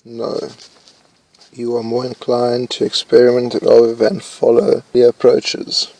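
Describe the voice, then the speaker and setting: Young man, slow and flat, gravelly fry, thinking aloud with hesitations; dry close recording. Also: mid-range voice, no room sound, low-quality recording. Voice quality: says his voice is loud. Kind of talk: casual commentary while reading test questions aloud.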